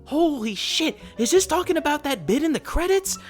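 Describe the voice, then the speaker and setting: Man putting on a high, gushing game fan. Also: big pitch swings, moderate pace, faint music behind. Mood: mock excited. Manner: breathless, gushing, naive enthusiasm. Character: gushing, easily thrilled surface-level game fan.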